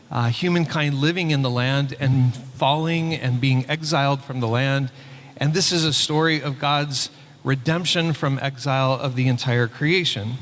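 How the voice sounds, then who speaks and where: A man, a pastor and church superintendent, presenting a conference paper in a relaxed way with many filler words. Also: a little high. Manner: relaxed, speaks with frequent "uh" fillers. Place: conference hall. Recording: long hall reverb.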